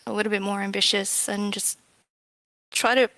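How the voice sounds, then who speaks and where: Creaky-voiced woman chatting casually. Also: mid-range voice, little pitch movement, moderate pace, sharp tone, no echo, rough recording. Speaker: game developer, interview guest. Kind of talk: casual interview chat.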